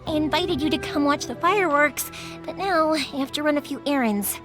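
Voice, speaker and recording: A woman's voice: high-pitched, normal speed, lightly acted. A woman voicing a cheerful young fireworks maker in a video game, upbeat, chatty and caring. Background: game background music.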